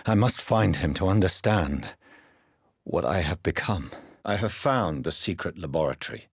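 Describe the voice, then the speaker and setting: An actor playing a grave, refined British doctor turned vampire in a video game, speaking formally with measured, precise diction. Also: male voice, deep voice, husky, dry recording, studio quality, full character voice. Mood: brooding, resolute. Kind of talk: video game character dialogue.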